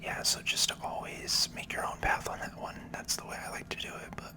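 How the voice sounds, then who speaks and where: A person whispering relaxed, unhurried ASMR commentary while playing a video game. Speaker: video game player and channel host.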